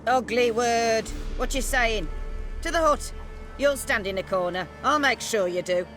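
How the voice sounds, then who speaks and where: A woman's voice: a little high, measured pace, fairly bright tone, faint music behind. A woman playing a protective, suspicious old village granny in a fantasy video game, muttering and scolding in rustic dialect. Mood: scolding, wary. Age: older. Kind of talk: video game character acting.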